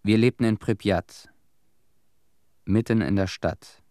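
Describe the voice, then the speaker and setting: An actor playing an ordinary townsman who survived a disaster, giving earnest, embittered testimony in a solemn, deliberate radio drama monologue. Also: on the low side, warm tone, no room sound, studio quality. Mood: earnest, bitter.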